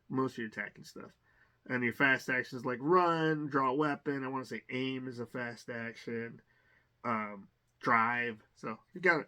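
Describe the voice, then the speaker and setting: A man's voice: mid-range voice, pushed and bright. A man giving a casual, rambling unboxing commentary on a tabletop game, full of fillers and restarts.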